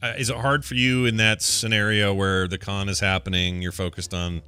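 Casual male morning-show host, lower-pitched and bright in tone. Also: level tone, normal speed, smooth, soft background music.

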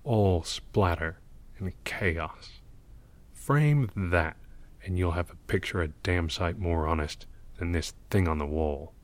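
A man's voice: sits low, slow. A man playing a gloomy, cynical old mural painter in an audio drama, speaking in a sardonic, world-weary manner. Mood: bitter, gloomy. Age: older.

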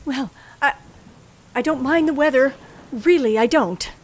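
A woman's voice: high voice, measured pace. An actress playing a frightened, tense woman traveler with a dramatic, hesitant delivery in an old radio thriller. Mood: fearful. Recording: faintly noisy old radio recording.

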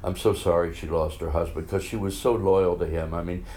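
Older male guest, low and loud, animated raconteur. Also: brisk. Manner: animated storyteller, exclaims. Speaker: guest, veteran musical performer. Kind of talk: conversational interview.

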